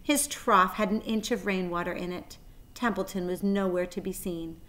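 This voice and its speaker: A woman in her forties reads a children's book aloud. Her delivery is expressive, with a medium-pitched storyteller's voice. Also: moderate pace. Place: small plain room indoors.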